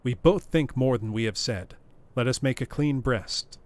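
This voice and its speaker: A man voicing a composed, formal older male lawyer who reasons calmly, close to his own voice. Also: medium pitch, moderate pace, natural voice.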